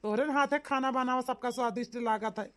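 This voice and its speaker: A woman's voice: on the high side, steady tone, a little fast, fairly bright tone, close to an everyday voice. A woman voicing a village in-law in a comedy cartoon, speaking with an Awadhi accent in an emphatic, folksy, repetitive way. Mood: persuasive.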